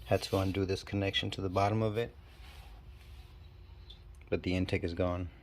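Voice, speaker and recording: A man's voice: sits low, little pitch movement, slight background noise. Stuffy-nosed man talking through car repairs. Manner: talks while working, halting and self-deprecating. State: stuffy, nasal from a cold. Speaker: channel host. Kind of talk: casual DIY garage walkthrough. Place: home garage.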